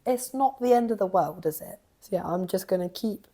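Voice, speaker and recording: Croaky young woman vlogging. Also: medium pitch, expressive, a little fast, mellow. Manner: thinking aloud, chatty and rambling. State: croaky voice from a cough. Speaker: knitting vlogger. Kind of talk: casual vlog chat.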